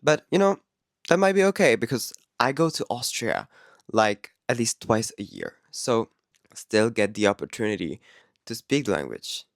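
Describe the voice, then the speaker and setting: A man with a Czech accent and Czech as his first language chats to his viewers. His voice sits at an ordinary middle pitch and is recorded dry, with no room sound. He talks candidly and loosely, breaking into laughs and fixing his own words as he goes. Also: animated, moderate pace, no echo. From Czech Republic. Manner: chatty and candid, with laughs and self-corrections. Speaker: vlogger. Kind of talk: casual vlog talk to camera.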